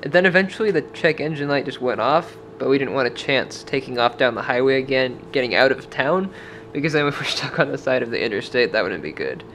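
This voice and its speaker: A young man from Washington state tells a travel vlog story to a camera held at arm's length in a motel room. He talks in a chatty, rambling way with plenty of "like" fillers. A faint hum sits behind his voice, and his pitch stays in an ordinary middle range. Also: lively intonation, brisk, hardly any pauses, fairly bright tone. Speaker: vlogger. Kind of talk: casual travel vlog with voice-over. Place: dim motel room, indoors.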